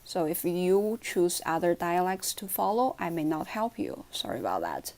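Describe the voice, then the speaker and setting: A woman speaking English with a Chinese accent, her voice sitting a little low for a woman. She talks to her viewers in a casual vlog and explains her tips earnestly and step by step, in simple phrasing. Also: big pitch swings, normal speed, darkish tone. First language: Mandarin Chinese. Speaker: language tips vlogger.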